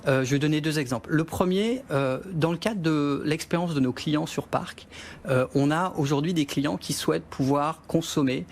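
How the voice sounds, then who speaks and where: A man, a company executive, answering interview questions in a measured way with frequent hesitations. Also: fairly high pitch, a little hiss or hum.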